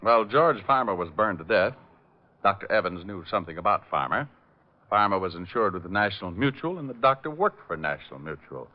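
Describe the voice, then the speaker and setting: An actor playing a cool, mercenary private detective in 1940s radio style, dry, laconic and wisecracking. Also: male voice, fairly low pitch, very animated, a little fast. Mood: dry, businesslike. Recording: dull vintage radio recording with music.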